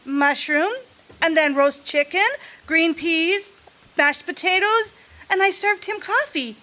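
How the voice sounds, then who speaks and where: Woman playing a very high-voiced, slow-talking radio mystery hostess. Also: sharp tone, full character voice. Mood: shaken, evasive. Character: polite married hostess feigning shock over her husband's death. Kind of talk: amateur old-time radio mystery acting.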